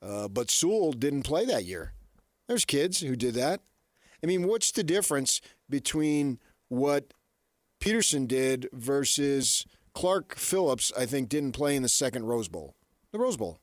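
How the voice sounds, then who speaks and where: A man's voice: mid-range voice, sing-song, a little slow, no room sound, clean studio sound. An American man co-hosting sports radio, challenging his partner with rhetorical questions in unscripted debate.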